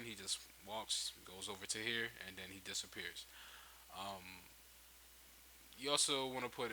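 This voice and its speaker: A man giving a casual, unscripted software tutorial, thinking aloud and backtracking as he explains. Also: on the low side, low-quality recording. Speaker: tutorial host. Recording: hissy home microphone, noticeable background noise.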